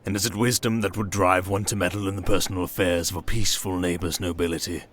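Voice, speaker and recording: A man playing a stern, scheming old king in a fantasy audio drama, speaking in pompous, formal, measured accusations. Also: low-pitched, fairly even intonation, slight background noise, natural voice. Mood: cold accusation.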